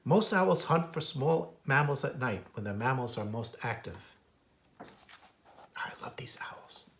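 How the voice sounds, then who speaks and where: A man's voice: mid-range voice, expressive, a little slow, a bit bright, a little hiss or hum. An American man reading a children's book aloud as its author and naturalist, adding informal asides about wildlife. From United States. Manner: imitates bird calls in a singsong.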